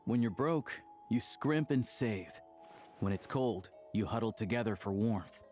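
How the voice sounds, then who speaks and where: A man's voice: lowish voice, expressive, measured pace, a little mellow, faint music behind. An actor playing a wry, world-weary townsman in a video game, with a laconic, easygoing manner. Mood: dry, resigned. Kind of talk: video game character dialogue.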